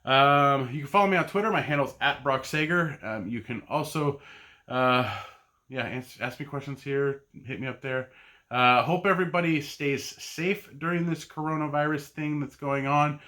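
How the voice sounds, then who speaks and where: A man's voice: mid-range voice, normal speed, pushed and bright. A middle-aged man talking in a casual solo vlog, rambling with many ums, uhs and restarts. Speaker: podcast host and comic buyer.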